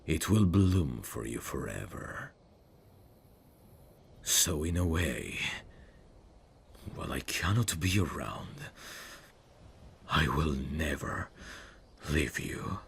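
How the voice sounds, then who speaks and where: A man playing a brooding evil prince, tender and sorrowfully noble, speaking in a slow, formal, wistful way for an intimate ASMR fantasy roleplay. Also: a bass voice, very animated, gravelly, a little hiss or hum, full character voice. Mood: bittersweet, gentle.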